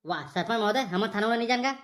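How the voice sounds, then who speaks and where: A woman's voice: medium pitch, fast talker, heavy background noise, natural voice. A woman voicing jittery, wisecracking young village men in a Chhattisgarhi comedy cartoon, rustic, whining and argumentative. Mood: fretting.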